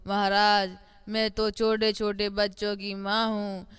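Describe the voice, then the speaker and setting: Woman voicing a smooth, monotone mother goat. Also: medium pitch, a little slow, dry recording, lightly acted. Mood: worried. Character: worried, humble ageing mother goat, deferential. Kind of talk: Hindi animated moral-story voice acting.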